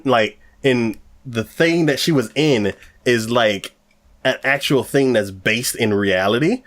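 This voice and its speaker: A man's voice: mid-range voice, fairly bright tone. A man co-hosting an anime podcast, chatting casually and rambling with lots of fillers. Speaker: podcast co-host.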